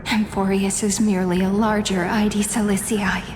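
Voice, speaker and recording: An actress voicing a sorrowful young heroine in a game cutscene, soft and reflective. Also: female voice, medium pitch, level tone, moderate pace, big-room sound, background noise, close to an everyday voice. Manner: soft, mournful, reflective delivery with sighs.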